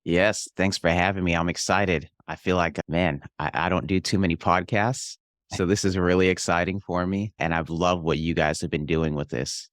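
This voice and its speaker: A man's voice: deep voice, fairly even intonation, moderate pace, fairly bright tone, no room sound, studio quality. A young adult man from Hawaii, a real estate investor and pastor, telling his life story in an earnest, reflective way. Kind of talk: casual interview storytelling.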